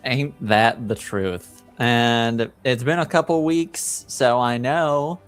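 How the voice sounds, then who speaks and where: A man's voice: medium pitch, slow pace, smooth, faint music behind. A man hosting as game master, recapping casually in a hesitant, wry, amused way.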